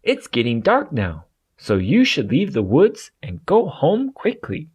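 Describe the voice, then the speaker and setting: A man's voice: fairly high pitch, wildly swooping pitch, unhurried, husky, voice pushed up, exaggerated voice. Man putting on a polite, lifted-voice elder bird. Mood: concerned. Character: helpful, polite elder bird of a migrating flock. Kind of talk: children's storybook read-aloud.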